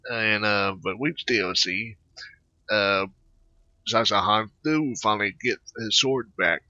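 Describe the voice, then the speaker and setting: A man hosts a gaming playthrough with loose, rambling remarks. His lowish voice is very forceful and edgy, and he halts and repeats phrases. The sound is dry and lo-fi. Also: no room sound. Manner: halting, repeats himself. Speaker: gaming video host. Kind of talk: casual, rambling game playthrough commentary. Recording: dull, lo-fi close dry mic.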